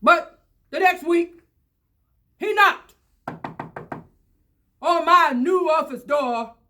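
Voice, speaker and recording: Woman, children's read-aloud, very high pitch, unhurried pace, theatrical, halting. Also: pushed and bright, slight background noise. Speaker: storybook reader at a library.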